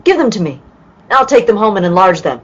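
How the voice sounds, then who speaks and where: A woman's voice: medium pitch, very animated, a little fast, slightly rough. An actress playing an earnest, helpful young woman assisting a detective in an old-time radio play. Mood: eager, helpful. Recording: dull, lo-fi call audio.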